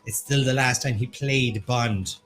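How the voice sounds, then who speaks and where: A man's voice: medium pitch, fairly even intonation, measured pace, fairly bright tone, faint music behind. An Irish man co-hosting a pub quiz, reading questions and options aloud with quips. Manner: playful, reads questions aloud, quips and sings snatches. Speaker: quiz host. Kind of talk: livestreamed pub quiz banter.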